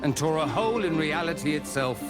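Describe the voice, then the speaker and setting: An actor playing an erudite, wry mage scholar in a fantasy video game, eloquent and theatrical. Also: male voice, a little high, lively intonation, brisk, lightly acted. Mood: wry, thoughtful. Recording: loud music behind.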